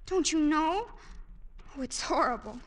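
An actress playing a meek, frightened young captive maid, pleading and tremulous, in old-time radio style. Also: female voice, high-pitched, animated, unhurried. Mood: fearful, confiding.

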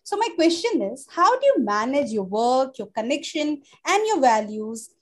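High-voiced woman interviewer. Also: big pitch swings, normal speed. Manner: reads long, formal questions. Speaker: podcast interviewer. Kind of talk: prepared interview questions.

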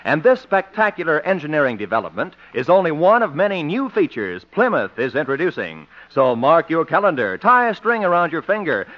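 A man announcing in a polished, emphatic, formal 1950s radio style. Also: fairly high pitch. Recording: dull old radio recording.

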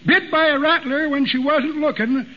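A man's voice: very high-pitched, normal speed, heavy background noise. A man playing a folksy old male tall-tale teller in a 1940s radio comedy, drawling and chuckling, on a dull old radio recording. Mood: folksy yarning. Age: older.

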